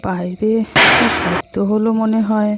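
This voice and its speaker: A woman's voice: medium pitch, fairly even intonation, unhurried, very noisy, close to an everyday voice. A woman playing an anxious, protective village mother in a cartoon, worried and questioning. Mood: uneasy. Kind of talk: animated story dialogue.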